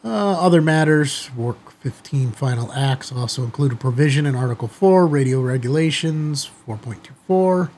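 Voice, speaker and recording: A middle-aged man with an American accent speaks as a hobby channel host. His voice is husky and sits in a higher-than-deep, ordinary male register. He addresses viewers in an informal, folksy way. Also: animated, moderate pace, hardly any pauses, a little mellow. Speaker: amateur radio video host. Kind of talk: casual chatty commentary to viewers. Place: small indoor studio office, seated at desk.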